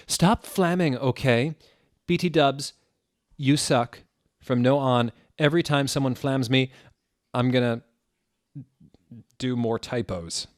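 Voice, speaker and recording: A man's voice: mid-range voice, slow pace, dry recording, studio quality, pitched above the performer's own voice, natural voice. A man voicing a defensive, petulant teenage girl who writes fan fiction and scolds her readers. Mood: indignant.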